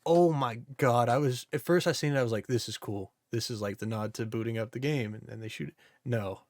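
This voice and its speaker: A man's voice: medium pitch, fairly quick, darkish tone, no room sound, studio quality. A man co-hosting a podcast, ranting casually and emphatically with strong opinions about films. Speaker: podcast co-host. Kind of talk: casual opinionated movie chat.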